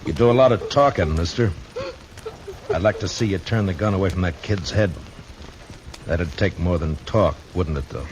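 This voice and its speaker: An actor in a 1950s radio western playing a stern, steady lawman who talks in terse, firm, plain sentences. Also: sits low, moderate pace, pauses often, lightly acted. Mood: firm, commanding. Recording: muffled, noisy old-time radio broadcast.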